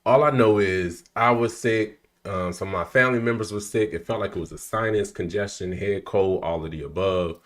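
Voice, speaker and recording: Easygoing low-voiced man co-host. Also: moderate pace, hardly any pauses. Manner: easygoing, agreeable, fills with um and you know. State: getting over a head cold. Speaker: podcast co-host. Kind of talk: casual podcast chat.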